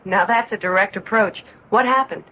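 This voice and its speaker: A woman's voice: mid-range voice, moderate pace, sharp tone, breathy edge. A woman interviewing for a scripted 1970s radio commercial. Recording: muffled radio recording, faint noise.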